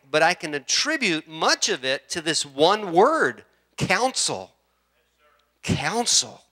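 Bright-voiced pastor in his fifties preaching. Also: medium pitch. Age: 50s. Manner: repeats key words for emphasis, builds to fervent preaching. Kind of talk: sermon. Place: church sanctuary.

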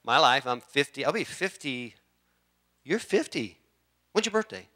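Bright-voiced pastor in his fifties preaching. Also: medium pitch, big pitch swings, a little slow. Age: 50s. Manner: repeats key words for emphasis, builds to fervent preaching. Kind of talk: sermon. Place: church sanctuary.

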